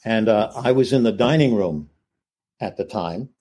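A man's voice: on the low side, animated, moderate pace, a little mellow, slightly rough. A man telling an anecdote, leaning on repeated fillers as he builds it up. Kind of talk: anecdote in a clip.

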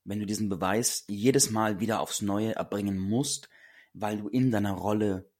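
A man hosting a podcast and speaking earnestly to listeners, a little hoarse today. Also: lowish voice, fairly even intonation, moderate pace, darkish tone. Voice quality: husky. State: a bit hoarse. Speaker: podcast host and coach. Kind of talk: solo podcast talk.

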